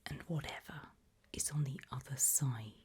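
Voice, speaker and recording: Asmr lady whispering questions, low and mellow, really breathy, takes her time. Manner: slow, hushed, deliberate questioning. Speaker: ASMR host.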